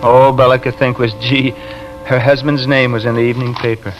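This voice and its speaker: An actor playing a nervous truck driver in a 1950s radio drama, talking in a defensive, colloquial way. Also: male voice, mid-range voice, level tone, fairly quick, dull audio, lightly acted. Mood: wry, uneasy narration. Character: nervous working-class truck driver, wearily telling his story. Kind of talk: 1950s radio drama acting, first-person narration. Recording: vintage radio recording with music underneath.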